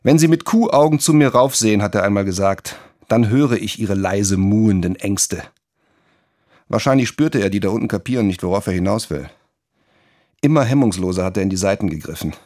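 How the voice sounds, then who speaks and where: An actor playing a disdainful, scheming political speechwriter in a radio drama monologue. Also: male voice, on the low side, lively intonation, brisk, darkish tone, natural voice. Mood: disdainful, calculating. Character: scheming political spin doctor, disdainful and tactical.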